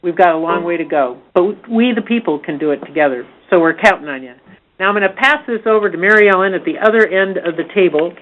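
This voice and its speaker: A woman's voice: lowish voice, fast, a little hiss or hum. A woman leading an advocacy organization, speaking informally and emphatically on a conference call in an earnest, rallying way. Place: conference call from a meeting table. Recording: tinny phone-line conference call.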